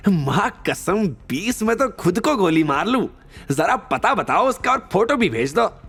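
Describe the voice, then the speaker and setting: A man's voice: high-pitched, very animated, unhurried, fairly bright tone, slightly rough, voice pushed up, exaggerated voice. A man voicing a shady hired hitman in an audio drama, folksy and deferential, full of oaths and greed. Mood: eager, greedy.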